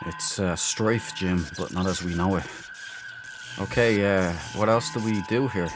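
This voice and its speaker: A man's voice: deep voice, unhurried, background noise. A man hosting a casual game playthrough, muttering to himself and playfully repeating phrases. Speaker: let's play host. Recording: loud game ambience behind. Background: video game sound.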